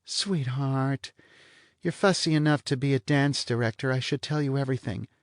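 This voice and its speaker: A man's voice: medium pitch, moderate pace, no room sound, clean studio sound, voice pushed up, lightly acted. A man voicing a languid, teasing blonde woman with a lazy, sardonic drawl. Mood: mocking.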